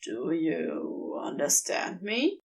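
A woman voicing a wary young man in hiding who speaks in a hesitant hushed whisper. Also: low-pitched, wildly swooping pitch, extremely slow, distant mic, voice pushed down, exaggerated voice.

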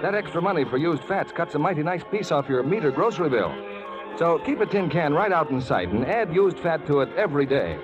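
A man's voice: a little high, muffled recording. A man announcing credits and reading advertising copy in a scripted 1940s radio style, on an old broadcast. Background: music.

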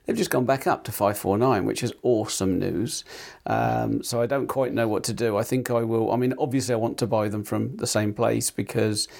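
A man's voice: mid-range voice, fairly even intonation, normal speed, gravelly, darkish tone. A man co-hosting a casual tech podcast, rambling with many fillers and restarts while nursing a sore tongue. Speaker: podcast co-host.

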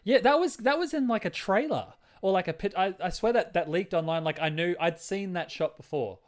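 A man's voice: high voice, a little fast. A man talking casually as co-host of a podcast on movies and video games. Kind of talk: casual movie and game chat.

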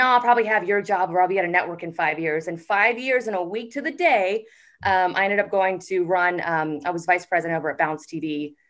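Chatty woman guest with a lowish voice. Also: a bit bright. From United States. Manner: chatty and upbeat, with frequent "um" pauses. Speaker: guest, TV network executive. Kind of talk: casual podcast interview.

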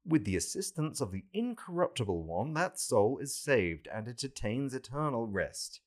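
A man voicing a calm, authoritative saviour who teaches a follower with solemn, deliberate pronouncements in a scripture reading. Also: mid-range voice, voice pushed up. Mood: solemn teaching.

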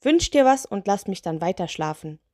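A woman's voice: on the high side, lively intonation, normal speed, darkish tone, no echo, clean studio sound, pitched above the performer's own voice. A woman voicing a gigantic, grumpy male lantern genie for a children's story, huffy and sarcastic. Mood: offended.

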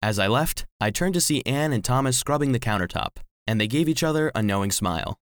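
A man's voice: medium pitch, expressive, a little fast, smooth, no echo, lightly acted. A man playing a small, devoted household cleaning robot, narrating a children's audio drama earnestly. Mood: earnest, reflective. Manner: earnest storytelling.

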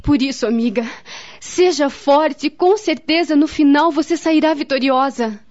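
A woman's voice: high-pitched, moderate pace, darkish tone, lightly acted. An actress playing a grave, devout woman in a radio drama, speaking solemnly and earnestly with a Brazilian accent. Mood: solemn, advising. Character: grave, devout woman friend, counselling and recalling family tragedy. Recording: dull, lo-fi radio drama recording.

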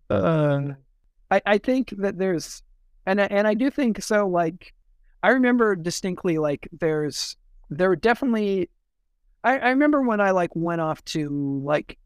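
Male poetry podcaster: raised pitch, heavy vocal fry, casual delivery that circles and repeats itself. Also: animated, no room sound, studio quality. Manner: halting, rambling, repeats words as thoughts form. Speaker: poetry editor discussing poems on a podcast. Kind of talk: casual discussion with a short read-out.

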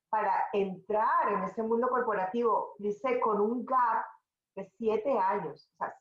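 A middle-aged Venezuelan woman, a podcast guest, telling her career story in a conversational, animated way with many restarts. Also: mid-range voice, reverberant, highs cut off. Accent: Venezuelan Spanish. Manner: animated, talks in restarts and asides.

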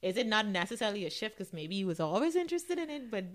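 A woman's voice: mid-range voice, sing-song, quick pace. A woman hosting a radio interview in a casual, conversational way, often murmuring agreement as she listens. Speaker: radio show host interviewing a guest.

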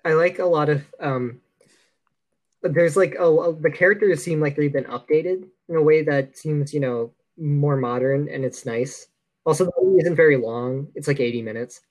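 A man's voice: fairly high pitch, moderate pace, darkish tone, no room sound, low-quality recording. A man co-hosting a podcast, chatting casually about films in a laid-back, dryly joking way. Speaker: podcast co-host. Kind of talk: casual film discussion chat.

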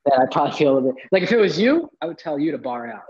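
A man, a musician guest, talking in a relaxed, rambling way and often saying "like". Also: on the high side, rapid-fire, lo-fi sound. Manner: relaxed, rambling, heavy use of "like". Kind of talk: casual conversation.

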